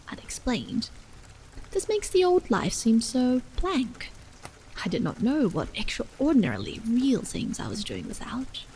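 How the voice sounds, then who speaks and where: A woman voicing a troubled, earnest, introspective man in a story, soft, solemn and hesitant. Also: on the high side, slight background noise, low-quality recording. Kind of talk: audiobook character dialogue. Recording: steady rain sound over the voice.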